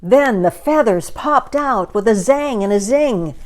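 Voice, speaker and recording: A woman storyteller reading a children's story aloud in an expressive, dramatic and deliberate manner. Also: fairly high pitch.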